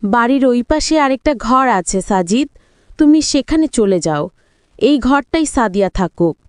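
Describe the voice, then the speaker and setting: A worried mother of grown children, played by a woman storyteller, earnest and admonishing. The voice is slightly high and unhurried, with a dry, close sound. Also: animated, darkish tone, clean tone, dry recording. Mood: concerned, firm.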